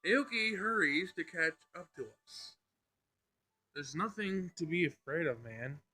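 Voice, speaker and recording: A man's voice: a little high, lively intonation, a little slow, bright, forceful tone. A man reading a story aloud unrehearsed, narrating and stumbling now and then as he re-reads phrases. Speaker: player reading a visual novel aloud. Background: music.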